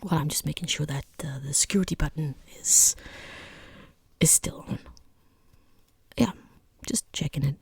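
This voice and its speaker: A person voicing a rude, condescending boss in a soft-spoken ASMR roleplay, with a breathy half-whisper, hesitant pauses and dryly mocking, falsely polite understatement. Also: mid-range voice, no echo. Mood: wary, evasive. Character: rude, condescending boss conducting a job interview.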